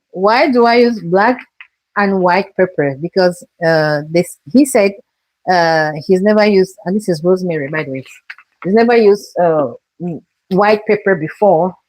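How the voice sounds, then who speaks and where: A woman's voice: medium pitch, lively intonation, a little slow, a little mellow, dry recording, low-quality recording. Woman from cameroon hosting a live cooking show, takes her time, chatty, walks thru every step. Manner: chatty, talks through each step, greets viewers.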